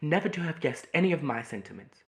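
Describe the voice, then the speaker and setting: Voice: medium pitch, sing-song, quick pace. A performer playing a weary, wronged mother in a stage play, speaking with sorrowful reproach. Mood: reproachful. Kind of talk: theatrical stage play acting.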